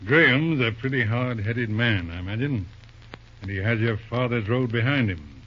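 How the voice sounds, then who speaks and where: An actor in an old radio drama playing a shrewd, genial older judge who chuckles, hums and drawls thoughtfully. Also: male voice, medium pitch, animated, moderate pace, lightly acted. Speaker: lawyer uncle. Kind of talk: 1930s radio drama acting. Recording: dull lo-fi old radio recording, faint hiss.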